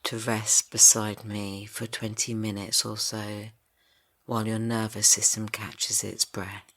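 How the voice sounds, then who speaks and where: A man guiding a yoga nidra rest for grief, speaking slowly and softly, drifting into whispers. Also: lowish voice, flat delivery. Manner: slow, soft and soothing, drifting into whispers. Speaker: meditation guide. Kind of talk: guided yoga nidra meditation.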